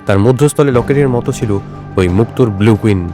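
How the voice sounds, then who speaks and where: A male actor playing a shrewd, self-assured detective in an audio drama, speaking in a measured, explanatory way. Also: on the low side, music in the background, natural voice. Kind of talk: Bengali audio drama dialogue.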